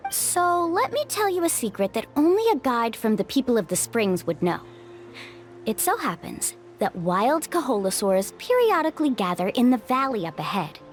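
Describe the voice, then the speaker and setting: Cheerful young tour guide girl, upbeat and bouncy, soft game music. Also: on the high side, big pitch swings, normal speed. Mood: explaining. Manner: upbeat, playful, confident. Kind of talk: video game voice acting. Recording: game audio with music behind.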